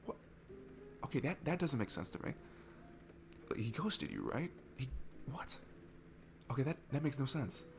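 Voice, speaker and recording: A man playing a sweet, nervous young guy at a bar in an intimate ASMR roleplay, talking in a halting, earnest, awkward way. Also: mid-range voice, big pitch swings, vocal fry. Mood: indignant, disbelieving. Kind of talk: ASMR roleplay audio, casual intimate chat. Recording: music behind the voice. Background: music.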